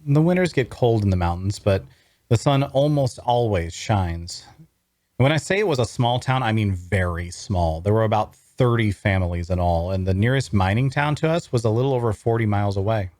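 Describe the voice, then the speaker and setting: Low-voiced man playing a fantasy traveler, close and dry. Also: lively intonation, normal speed, darkish tone, no echo, lightly acted. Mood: friendly, guarded. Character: wry young male traveler narrating his fantasy adventure. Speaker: voice actor. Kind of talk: first-person scripted narration at a table read.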